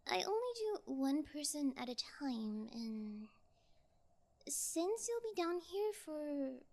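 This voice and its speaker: Woman acting a high-pitched, lazily menacing kidnapper girl. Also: exaggerated voice. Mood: casual, musing. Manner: lazy, teasing, falsely sweet, casual. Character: bored young female yangire kidnapper, playfully sociopathic. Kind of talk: ASMR audio role play.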